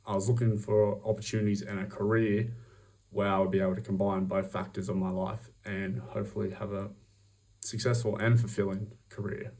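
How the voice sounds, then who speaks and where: Young Australian man, low voice, far off. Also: level tone, fairly quick, dark tone. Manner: upbeat, self-promoting, many ums and restarts. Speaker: job applicant. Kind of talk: self-recorded job application pitch.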